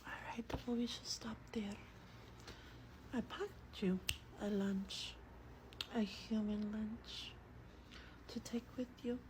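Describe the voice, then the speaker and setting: A woman with a breathy whisper reads a story aloud in a slow, hushed, gentle ASMR style, as a vampire host close to the microphone. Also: medium pitch, fairly even intonation. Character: gentle female vampire host reading a bedtime book. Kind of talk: soft-spoken ASMR roleplay and book reading. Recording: faint background noise.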